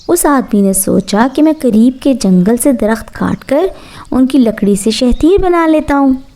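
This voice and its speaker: A woman's voice: a little high, mellow. A woman narrating a scripted children's bedtime story as a storyteller.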